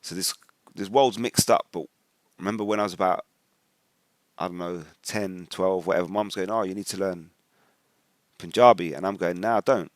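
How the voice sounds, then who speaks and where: A man's voice: sits low, fairly even intonation, moderate pace, long pauses, no room sound. A man from England with an English accent gives informal religious commentary in colloquial, slangy speech, often acting out what other people say. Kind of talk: informal spoken commentary with personal anecdotes.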